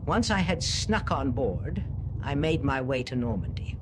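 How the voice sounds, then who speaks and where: A man's voice: mid-range voice, very animated, unhurried, noisy background. A man voicing a determined, defiant female war correspondent in earnest, solemn first-person narration. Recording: voice-over under loud music.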